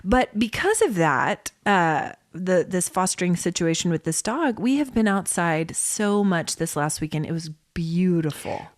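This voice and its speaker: A woman's voice: on the low side, sing-song, unhurried, hardly any pauses, no echo, clean studio sound. A woman co-hosting a podcast in casual, lighthearted, bantering chat. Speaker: podcast co-host.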